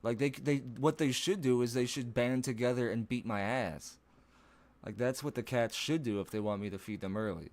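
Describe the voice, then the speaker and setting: A man chatting casually on a live stream from home, rambling and swearing, laughing at himself, with a low voice that breaks into odd higher notes. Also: normal speed, a little mellow.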